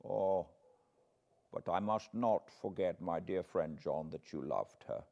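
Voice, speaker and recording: A man voicing an elderly foreign professor from a classic novel, solemn and fatherly, with quaint, deliberate phrasing. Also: low voice, creaky, mellow, lo-fi sound, pitched below the performer's own voice, exaggerated voice. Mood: intense, hushed. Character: elderly foreign male professor, grave, tender, paternal, eccentric.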